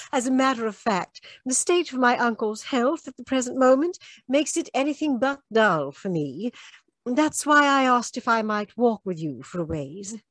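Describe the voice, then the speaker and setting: Woman playing a high, swooping earnest Victorian gentlewoman. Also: animated, measured pace, no room sound. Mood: wry, concerned. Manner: formal, earnest, emphatic. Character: clever, earnest, advanced young Victorian gentlewoman. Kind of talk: old-time radio mystery acting.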